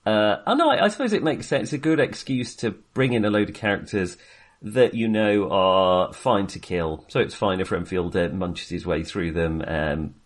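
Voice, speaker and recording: A low-voiced man talks casually about films as a podcast co-host. His pitch swings widely and expressively, and he feels his way through opinions, circling back to qualify them. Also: expressive, moderate pace. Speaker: film review podcast co-host. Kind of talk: casual film discussion.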